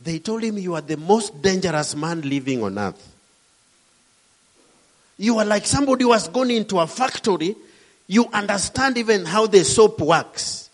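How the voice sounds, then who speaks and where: A middle-aged man from Kenya with a Kenyan accent, preaching a sermon with emphatic, rising and falling delivery. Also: sits high.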